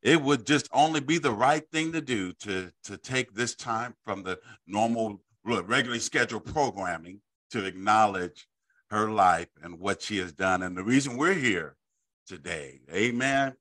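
A middle-aged man with an Oklahoma accent hosting a church Bible study on a video call, speaking haltingly with repeated words and frequent amens. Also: fairly low pitch, a bit bright. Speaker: bishop hosting a weekly online Bible study. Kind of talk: casual livestream hosting. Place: indoor office, room with a framed picture. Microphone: studio microphone in front of him on a video call.

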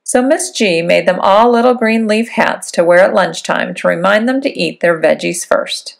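A woman giving an expressive read-aloud narration of a children's storybook. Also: medium pitch, moderate pace, creaky. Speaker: storybook reader. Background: background music.

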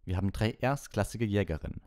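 A man's voice: lowish voice, quick pace, darkish tone, dry recording, studio quality, pitched above the performer's own voice, natural voice. A man voicing an intense, desperate schoolboy team captain in an audiobook, with emphatic, stressed phrases. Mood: fierce pride. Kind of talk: audiobook character voice.

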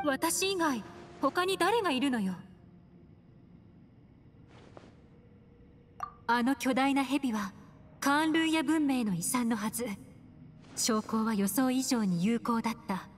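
Composed, enigmatic game heroine: slightly high voice, measured delivery, reverberant sound. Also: female voice, animated. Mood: composed, grave. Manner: poised, measured, feminine phrasing. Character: cool, composed, mysterious adult woman adventurer. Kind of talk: Japanese anime-style game voice acting. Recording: long reverb, music underneath.

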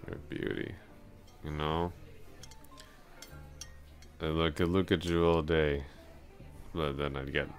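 A man playing a drunk, lovestruck coworker in an intimate ASMR roleplay, rambling bashfully, halting, repeating himself and putting himself down. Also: a bass voice, steady tone, faint music behind. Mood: dreamy, flirtatious.

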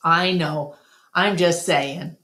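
A woman's voice: lowish voice, fairly even intonation, takes it slowly, sharp tone. A woman voicing an earnest, anxious male gorilla in a storybook, explaining himself hesitantly. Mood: matter-of-fact.

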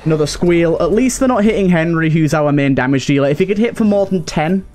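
Higher-voiced man doing casual game commentary. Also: expressive, fast talker, darkish tone. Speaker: gaming video host.

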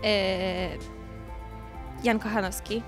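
A woman's voice: medium pitch, fairly even intonation, drawn-out words. A woman giving short, hesitant answers as a passer-by in a street interview. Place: outdoor city street. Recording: music laid under street interview.

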